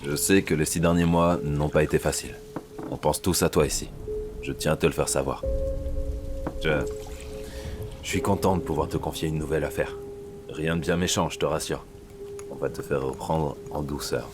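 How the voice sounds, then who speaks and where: A male actor plays a paternal male police superior with an extremely deep voice. He briefs his agent in a measured, confiding, reassuring way. Music plays clearly behind him, as in a thriller audio drama. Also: fairly even intonation. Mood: reassuring, concerned. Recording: background music under the voice.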